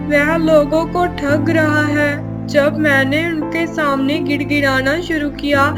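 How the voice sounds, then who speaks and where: A woman playing a poor, honest labouring man in a cartoon story, deferential and pleading. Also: high-pitched. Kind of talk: scripted cartoon story dialogue.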